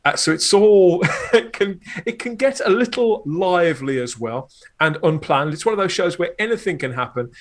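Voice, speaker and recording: A man's voice: high voice, expressive, normal speed. A man chatting enthusiastically in an interview as a podcast host and producer, explaining things at length. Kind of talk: conversational interview talk.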